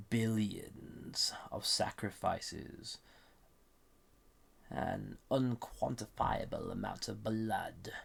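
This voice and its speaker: A man playing a lonely, flirty banished villain, an ancient living weapon, in an intimate audio roleplay with a breathy, gravelly voice and dramatic pauses. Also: on the low side, exaggerated voice. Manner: hesitant, soft-spoken, intimate, dramatic pauses.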